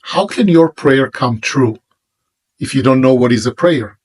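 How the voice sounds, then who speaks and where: A man with an Israeli accent, a native Hebrew speaker, preaching about prayer in an emphatic monologue with pauses between phrases. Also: mid-range voice, expressive, darkish tone. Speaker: religious teacher. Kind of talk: monologue sermon.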